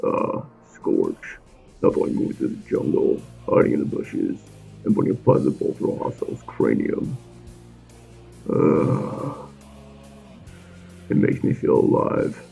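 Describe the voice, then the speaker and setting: A man voicing a gruff, menacing clone commando who relishes the kill, in a slow, grim drawl for a fan film. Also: a bass voice, big pitch swings, mellow, soft background music, heavily acted. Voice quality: gravelly. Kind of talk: fan film character acting.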